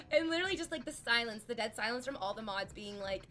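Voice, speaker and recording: Rambling streamer woman with a high voice. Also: lively intonation, fast talker, sharp tone, slight background noise. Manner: rambling, frank, heavy use of "like". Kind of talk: casual chat with live chat.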